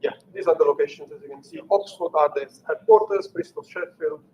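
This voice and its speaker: A man's voice: a little high, wildly swooping pitch, a little fast, lo-fi sound, a little hiss or hum. A middle-aged man from Italy with an Italian accent, giving an unscripted technical presentation with many fillers and restarts. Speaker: engineering manager giving a technical talk.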